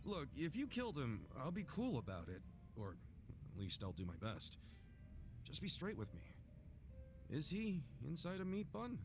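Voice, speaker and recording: A man playing an earnest, soft-hearted street brawler in a video game cutscene, speaking frankly and informally. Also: a little high, wildly swooping pitch, moderate pace. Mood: worried, incredulous. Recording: music under the dialogue.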